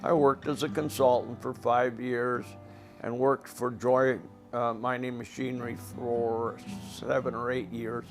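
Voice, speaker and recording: An older man with a raspy voice, a former coal miner speaking plainly and indignantly in a documentary interview. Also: mid-range voice, level tone, a little slow, over music. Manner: plain-spoken, indignant, rhetorical questions.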